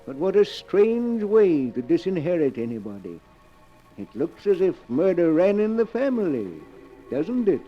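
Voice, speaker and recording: An actor playing an eerie, sardonic keeper of a book of tales, theatrical and ominous, in 1940s radio horror style. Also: male voice, high voice, very animated, moderate pace, faint music behind. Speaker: host of a mystery anthology. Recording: muffled old 1940s radio recording.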